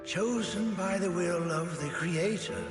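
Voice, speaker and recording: A solemn male cult overseer in a fantasy video game. His fairly high voice is very slow and deliberate, ringing with echo. He sounds sinister and formal. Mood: solemn, condescending. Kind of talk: dramatic video game voice acting. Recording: echoey hall reverb, very loud music. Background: music.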